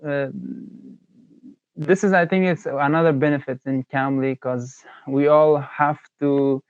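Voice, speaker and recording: Hesitant man, smooth voice, dry dull audio. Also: a little high, steady tone, a little slow, dry recording. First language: Persian. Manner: hesitant, many "uh" fillers. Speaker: English learner. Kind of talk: casual conversation.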